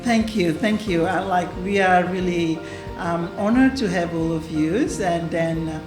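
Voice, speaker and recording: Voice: medium pitch, unhurried. An interviewer from East Timor asking long, winding questions with many hesitations. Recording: roomy room with background music.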